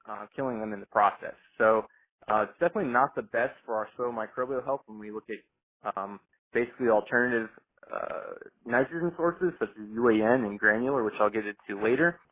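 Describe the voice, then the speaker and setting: A man explaining things informally as a product specialist, halting and often repeating his words. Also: medium pitch. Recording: tinny, noisy phone conference line.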